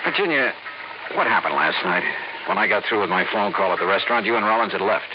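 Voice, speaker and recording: A man's voice: lowish voice, animated, fast, noisy background, natural voice. An American man acting a tough, shrewd investigator in a clipped, dry, hard-boiled manner for a 1950s radio detective drama. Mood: probing, dry. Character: tough, shrewd male freelance insurance investigator. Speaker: lead actor and narrator. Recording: muffled old radio recording with music.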